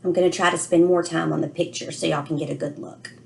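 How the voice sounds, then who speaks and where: A woman reading aloud and teaching children, explaining expressively and pausing to ask questions. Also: low voice, steady tone, creaky, darkish tone. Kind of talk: read-aloud lesson with questions to young listeners.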